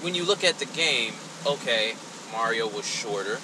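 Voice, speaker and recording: Male co-host, average pitch, blunt casual podcast talk, noticeable ambient noise in the recording. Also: lively intonation, moderate pace, pushed and bright, rough recording.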